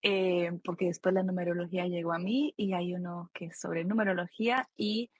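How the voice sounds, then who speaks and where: A woman with a Costa Rican accent and a husky voice, explaining reflectively as an expert guest in a conversational interview. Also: lowish voice, fairly even intonation, moderate pace. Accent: Costa Rican Spanish. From Costa Rica. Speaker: expert guest, psychologist and author.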